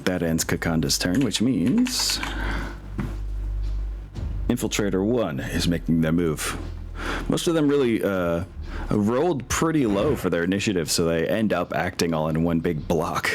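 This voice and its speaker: A man's voice: low voice, animated, a little slow, a little hiss or hum. A man acting as game master, narrating a tabletop game in a casual, easygoing way with apologetic asides. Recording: music behind the voice.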